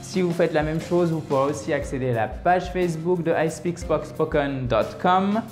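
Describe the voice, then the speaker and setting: A man's voice: fairly high pitch, normal speed, a little mellow, reverberant, soft background music. Chatty French man teaching English. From France. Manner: chatty, checks in often with "ok?" and "d'accord?"; explains step by step with examples. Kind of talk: online language lesson.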